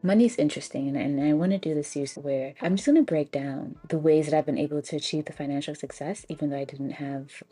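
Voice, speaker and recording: Young woman, American accent, low warm voice, reflective casual storytelling, faint music behind. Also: fast, hardly any pauses, husky. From Ghana and the Bronx, US. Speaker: personal finance storyteller.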